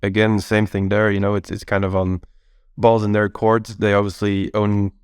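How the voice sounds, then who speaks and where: A man in his thirties, a guest golfer, answering in a relaxed, hesitant way with many pauses. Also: lowish voice, clear, resonant tone, dry recording, clean studio sound. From Belgium. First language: not English. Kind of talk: casual podcast interview.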